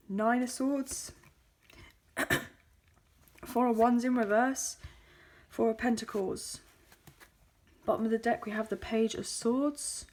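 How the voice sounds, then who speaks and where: Slightly croaky woman reading tarot. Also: a little high, darkish tone. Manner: chatty with many fillers. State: hoarse. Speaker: tarot card reader. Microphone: phone.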